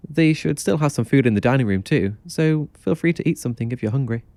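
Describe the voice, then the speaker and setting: A man's voice: mid-range voice, animated, quick pace, mellow, dry recording, lightly acted. A man playing a laid-back young motel clerk in an audio drama, offhand but kindly. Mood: helpful.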